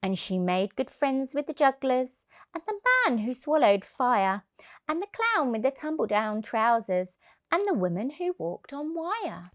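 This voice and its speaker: A woman reading a rhyming picture book aloud expressively for young children. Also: on the high side.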